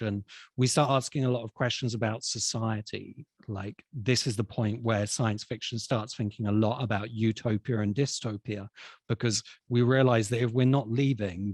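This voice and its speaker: A man speaking as an expert guest in a conversational discussion, explaining ideas in a thoughtful, halting way. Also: fairly low pitch, a little mellow, no echo. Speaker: interviewed science fiction expert.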